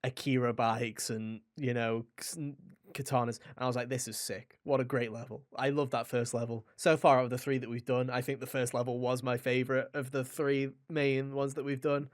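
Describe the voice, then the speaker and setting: A man co-hosting a gaming podcast, chatting casually and enthusiastically in an animated, rambling way. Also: mid-range voice, moderate pace, dry recording, clean studio sound. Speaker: podcast co-host reviewing a video game.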